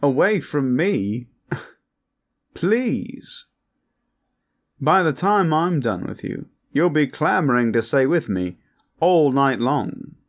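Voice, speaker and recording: A man's voice: fairly high pitch, pitched above the performer's own voice, exaggerated voice. A man voicing a cocky, lewd male vampire in an audio roleplay, with pompous, bawdy boasting.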